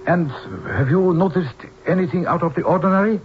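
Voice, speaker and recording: An actor playing a grave, courteous Japanese businessman in a radio drama, speaking halting, formal English with a Japanese accent. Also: sits high, natural voice. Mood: grave, polite. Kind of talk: old-time radio drama acting. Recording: tinny, noisy old radio broadcast.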